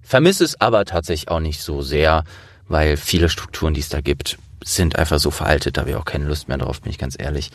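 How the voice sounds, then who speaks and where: A man's voice: very deep voice, a little fast, dry recording. A man chatting casually as an interviewer, with a trained voice actor's delivery. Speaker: interviewer and host.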